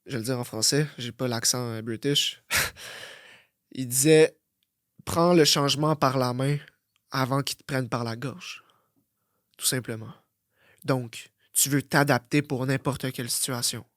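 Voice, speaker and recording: A young man with a Québécois French accent, hosting a blunt, profane motivational podcast that swings from loud outbursts to low muttering. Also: dry recording, studio quality. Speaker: fitness coach and podcast host.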